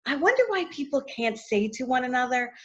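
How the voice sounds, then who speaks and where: A woman's voice: sits high, very animated, fairly quick. Chatty woman, muffled lo-fi sound. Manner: chatty, warm-hearted, frequent fillers, trailing off softly. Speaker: actress and interview guest. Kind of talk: casual interview answers.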